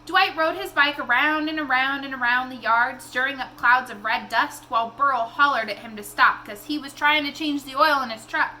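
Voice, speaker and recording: Bright, high-voiced woman reading aloud. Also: normal speed, hardly any pauses, a little hiss or hum. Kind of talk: expressive read-aloud of a children's novel.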